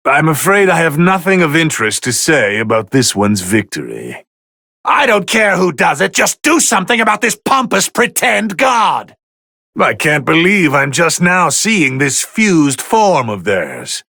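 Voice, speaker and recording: An actor playing a haughty, bored, easily irritated god of destruction who gives pompous, theatrical commentary in a fighting video game, with a gravelly voice. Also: male voice, a little high, huge pitch swings, unhurried, pushed and bright, no room sound, clean studio sound, exaggerated voice. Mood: irritated, outraged, then intrigued. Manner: pompous, theatrical, drawling disdain.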